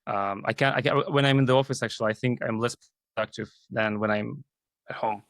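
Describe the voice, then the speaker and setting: A man from Kazakhstan talks as a guest in an interview. His mid-pitched voice is recorded dry, and he explains things in a halting, conversational way. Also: steady tone, fairly bright tone, no room sound. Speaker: guest, AI team leader. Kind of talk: interview talk.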